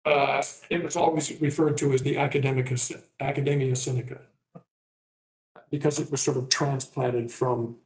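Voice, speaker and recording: An older man who grew up in Taiwan, moderating a panel and introducing speakers in a halting, rambling way full of fillers and restarts. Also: mid-range voice, steady tone. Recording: echoey room.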